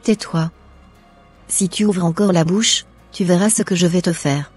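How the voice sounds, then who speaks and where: A woman voicing a stern, exasperated mother in a cartoon, with a scolding, deliberate way of speaking. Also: fairly low pitch, steady tone, darkish tone, breathy edge, soft background music. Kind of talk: scripted cartoon dialogue.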